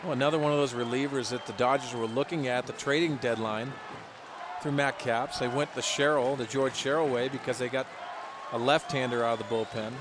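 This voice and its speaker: A man's voice: mid-range voice, steady tone, a little fast. An American man giving live baseball analysis on radio, explaining plays conversationally and rephrasing as he goes. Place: stadium broadcast booth. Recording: noisy stadium crowd behind. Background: ballpark crowd and stadium ambience.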